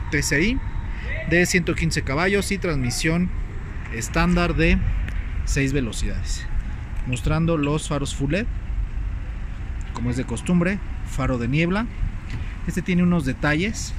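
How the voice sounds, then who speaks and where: A man with a Mexican accent, working as a car salesman and walking viewers through a car's features in a casual sales pitch. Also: background noise. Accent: Mexican Spanish. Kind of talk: casual car walkaround presentation. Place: car dealership prep area, partly outdoors.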